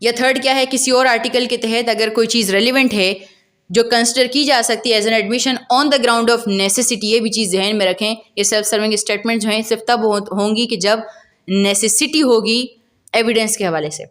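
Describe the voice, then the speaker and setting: A woman's voice: a little high, fairly even intonation, normal speed, a bit bright. A woman with a Pakistani accent lecturing on law, reading passages aloud and explaining them in a didactic way with frequent rephrasing. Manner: didactic, repeats and rephrases points, checks in often.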